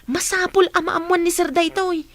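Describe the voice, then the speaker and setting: A woman playing a chatty, fussing, protective older household woman in an audio drama, exclamatory and animated. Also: very high voice, normal speed, no echo. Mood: alarmed, insistent.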